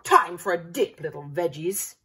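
A woman's voice: fairly low pitch, lively intonation, moderate pace, fairly bright tone, slightly rough. A woman voicing a small, evil, gloating pea villain from a children's storybook, slightly away from the microphone. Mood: taunting. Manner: taunting, shrieking. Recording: slightly distant room camera microphone.

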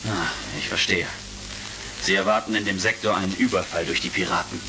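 An actor playing a confident, brisk space commander in a German sci-fi audio drama. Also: male voice, low voice, expressive, vocal fry. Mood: attentive. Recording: retro crackly audio drama recording with music.

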